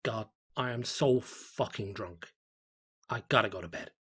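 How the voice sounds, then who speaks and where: Man acting a husky, drunk, bitter fisherman. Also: on the low side, unhurried, fairly bright tone. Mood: drunken grumbling. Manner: grumbling, swearing. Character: drunk, bitter, foul-mouthed small-town fisherman writing his diary. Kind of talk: first-person diary narration in a horror audio story.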